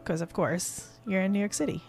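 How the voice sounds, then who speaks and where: A woman's voice: medium pitch, fairly quick, darkish tone, faint music behind. A woman co-hosting a podcast in a casual, chatty style. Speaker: podcast co-host. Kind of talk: casual chat.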